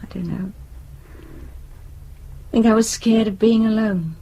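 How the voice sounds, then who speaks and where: A woman's voice: mid-range voice, slow pace, mellow, husky. An actress playing a resigned, anxious middle-aged British wife and mother in a naturalistic radio drama, hesitant and wistful. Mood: reflective.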